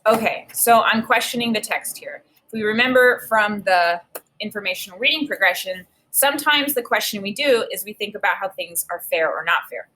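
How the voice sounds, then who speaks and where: A woman teacher reading a children's book aloud and pausing to think aloud about it. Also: mid-range voice, slight background noise, poor audio. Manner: reads with expressive emphasis, pauses to think aloud. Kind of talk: read-aloud lesson with think-aloud.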